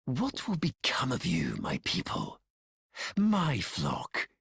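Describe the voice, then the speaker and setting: An actor playing a grandiose, bitter, scheming tyrant in a video game, theatrical and pompous, often dropping toward whispers. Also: male voice, medium pitch, wildly swooping pitch, takes it slowly, creaky, fairly bright tone, exaggerated voice. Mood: grand, zealous. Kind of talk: scripted video game voice acting. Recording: long hall-like reverb.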